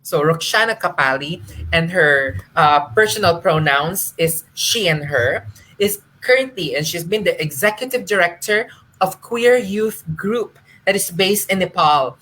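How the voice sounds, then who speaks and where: A woman's voice: on the low side, lively intonation, unhurried, fairly bright tone, poor audio. A woman moderating a live online talk, earnest and careful, with many small fillers and restarts.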